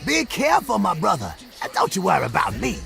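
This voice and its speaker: A gravelly, high, streetwise sidekick. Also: male voice, huge pitch swings, fairly quick, bright, forceful tone, faint music behind, heavily acted. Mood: excited. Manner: slangy, musical, theatrical. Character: brash, streetwise, wisecracking male sidekick. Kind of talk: video game cutscene acting.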